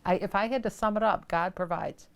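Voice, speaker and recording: A woman's voice: medium pitch, fairly quick, gravelly. A woman talking unscripted in a news interview, as a local interviewee. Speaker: family member interviewed.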